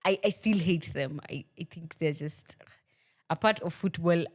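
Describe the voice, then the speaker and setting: Kenyan woman co-host, lowish voice, playful and giggly, code-switching banter. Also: measured pace. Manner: playful, giggly, mixes English with Swahili slang. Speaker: podcast co-host. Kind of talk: casual football podcast chat.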